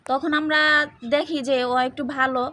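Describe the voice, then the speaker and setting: High-pitched woman. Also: flat delivery, normal speed, fairly bright tone. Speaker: farmer's elder sister being interviewed. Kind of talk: informal interview account. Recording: faint ambient location noise.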